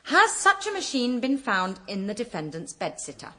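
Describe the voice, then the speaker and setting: A withering British woman barrister, with a highish, very expressive voice. She cross-examines precisely and sarcastically, in radio comedy style. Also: moderate pace. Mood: sarcastic. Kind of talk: radio comedy drama acting.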